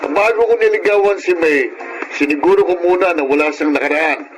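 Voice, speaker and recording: A cocky, chauvinistic young man played by an actor bantering among buddies in a radio drama. His teasing, opinionated voice sits slightly high. The recording is noisy. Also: a little fast, lightly acted. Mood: smug, opinionated.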